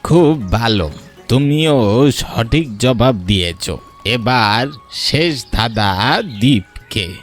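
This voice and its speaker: Slow, deliberate mysterious riddle master played by a man. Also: medium pitch, lively intonation. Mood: testing, solemn. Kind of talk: Bengali cartoon story dialogue. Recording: music behind the voice.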